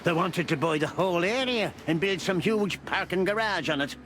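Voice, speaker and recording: A man's voice: high voice, moderate pace, fairly bright tone, a little hiss or hum. A man playing a jovial old beaver who sells newspapers in a noir adventure game, folksy and avuncular with a chuckling manner. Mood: defiant, proud.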